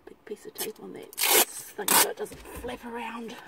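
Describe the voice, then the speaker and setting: A woman's voice: on the high side, flat delivery, measured pace. A woman chatting casually and matter-of-factly in an outdoor vlog. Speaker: vlogger. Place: outdoors by a suburban road beside a car. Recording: outdoor roadside noise, passing vehicles.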